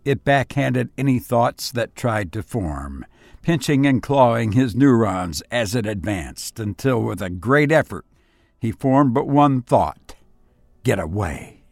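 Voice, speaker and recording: A man hosting and narrating horror stories in a dramatic, scripted style, with deliberate, suspenseful pauses. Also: medium pitch, expressive, creaky, darkish tone, dry recording. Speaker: narrator and host of audio story show.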